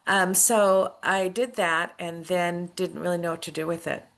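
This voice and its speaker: A woman's voice: fairly low pitch, steady tone, measured pace, vocal fry. A middle-aged woman, an author and former school principal, telling her story earnestly in a casual interview. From United States.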